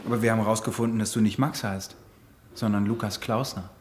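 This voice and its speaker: A man's voice: on the low side, steady tone, a little fast, darkish tone, a little hiss or hum, close to an everyday voice. An actor playing a dry, unflappable male police detective with clipped, wry delivery in a radio crime drama.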